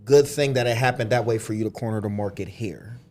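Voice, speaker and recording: A man's voice: on the low side, brisk, a little mellow. A man co-hosting a podcast, reacting with casual slang. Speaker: podcast co-host. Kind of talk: casual chat.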